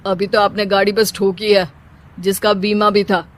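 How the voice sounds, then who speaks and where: A man's voice: sits high, flat delivery, moderate pace, a bit bright. Man playing a courteous, reassuring showroom manager. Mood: reassuring, admonishing. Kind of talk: comic moral-story cartoon dialogue.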